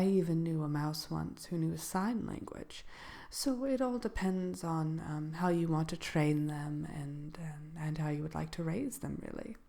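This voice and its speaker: Woman as a slow, creaky-voiced, composed sorceress. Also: deep voice, dark tone. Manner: hesitant, measured, genteel, matter-of-fact. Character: composed dark sorceress lecturing on magical animal companions. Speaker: seminar presenter. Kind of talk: soft-spoken ASMR roleplay seminar.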